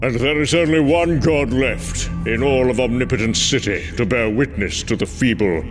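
A man's voice: medium pitch, normal speed. A man giving grave, portentous scripted narration. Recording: backed by loud music.

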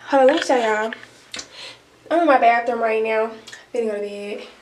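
Young woman, relaxed slangy chat to camera, bit high voice, roomy distant sound. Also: moderate pace. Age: young adult. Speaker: vlogger. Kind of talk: casual vlog chat. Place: small dorm room and bathroom. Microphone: camera set a few feet away. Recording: roomy, distant, noisy home recording.

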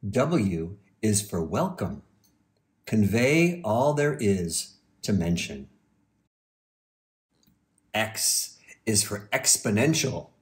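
A man reading a children's storybook aloud with measured, deliberate phrasing. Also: lowish voice. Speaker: university president, guest reader.

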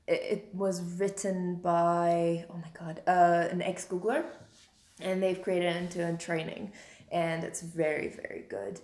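A young woman from Russia, Russian as her first language, hosts a casual livestream and reads out viewer comments. Her voice sits a little low and she often restarts her sentences. The webcam sound is roomy, with the reflections of a high-ceilinged room. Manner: chatty, restarts sentences, laughs at own slips. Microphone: webcam at desk.

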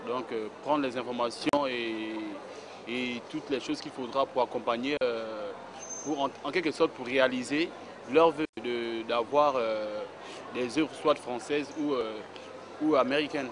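Man, artisan, halting and repetitive speech, background noise. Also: mid-range voice. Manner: halting, many fillers and repeated words. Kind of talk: street interview. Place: indoor craft fair stall.

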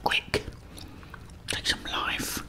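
A middle-aged British man whispering a hushed ASMR commentary as he plays a video game. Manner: hushed, slow running commentary.

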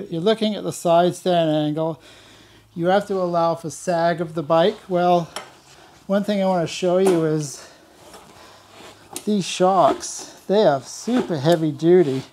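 A man's voice: sits high, a little mellow, a little hiss or hum. Older man explaining in his workshop. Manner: plain-spoken, explains step by step with frequent word repeats. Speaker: motorcycle builder showing his shop work. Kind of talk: casual workshop demonstration. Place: large indoor workshop.

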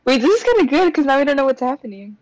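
A woman's voice: high-pitched, very animated, very fast, darkish tone, rough recording. A woman chatting casually among friends as one of the readers in a group readthrough.

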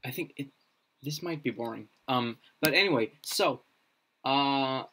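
Slow, halting young man thinking aloud. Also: medium pitch, fairly bright tone. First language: Danish. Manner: halting, many fillers and restarts. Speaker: streamer building a constructed language. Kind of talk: casual live-stream thinking aloud. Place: small room indoors.

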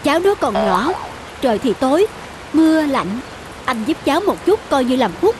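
Casting: compassionate woman passer-by, gentle and persuasive with expressive pitch, cartoon drama. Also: normal speed, background noise. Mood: pleading. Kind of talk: cartoon dialogue.

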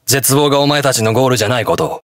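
Game hero, male actor: stern young police detective in armor, gruff and defiant, very animated pitch, dry recording. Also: rapid-fire, no echo, natural voice. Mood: stern, resolute. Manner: gruff, terse, defiant delivery. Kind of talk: video game battle voice lines in Japanese hero-show acting.

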